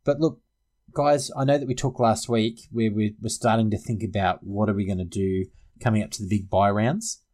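A man's voice: fairly low pitch, steady tone, moderate pace, darkish tone. An Australian man co-hosting a sports podcast in an informal, conversational style. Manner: informal, conversational, addresses co-hosts as guys. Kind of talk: casual fantasy rugby league chat.

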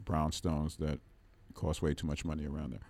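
A man's voice: rumbling low, little pitch movement, normal speed, creaky, darkish tone, dry recording. A man, a musician guest on a radio show, talking in a loose, rambling way and laughing often. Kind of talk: casual radio chat. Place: radio studio. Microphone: studio microphone.

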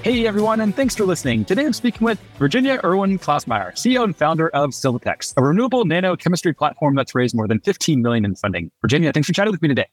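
A man hosting a podcast, running a conversational interview in an upbeat, brisk way. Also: a little high, very animated, soft background music. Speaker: podcast host. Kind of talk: scripted intro and conversational interviewing.

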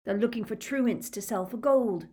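A woman voicing a teenage boy prisoner in an audiobook, hushed, startled and urgent. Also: a little high, normal speed, breathy edge, pitched above the performer's own voice. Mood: urgent, surprised.

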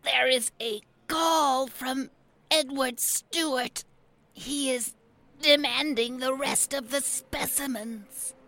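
Woman as a high, creaky, timid alien underling. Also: slow, sharp tone, heavily acted. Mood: fearful. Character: timid alien underling nervously reporting to the ruler. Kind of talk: sci-fi audio drama acting.

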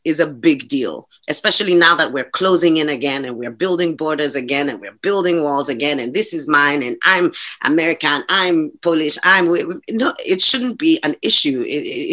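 A woman's voice: low voice, bright, forceful tone. A woman from Ghana, a guest in a casual conversation, who talks passionately and emphatically and often restarts her sentences.

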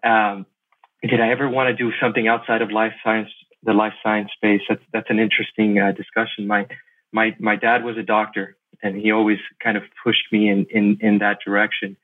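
Man, middle-aged, American accent, fairly low pitch, halting casual interview talk, narrow telephone-like audio. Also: level tone. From Miami, Florida, USA. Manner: halting, repeats words while thinking. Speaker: podcast guest, lawyer and investor. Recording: thin phone-like sound.

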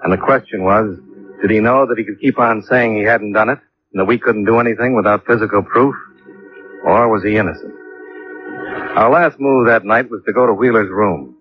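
An actor playing a cool, persistent investigator in 1950s radio detective drama, terse, matter-of-fact and probing. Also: male voice, on the low side, brisk, natural voice. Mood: matter-of-fact narration. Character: cool, persistent male investigator narrating his case. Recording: dull old radio recording with music.

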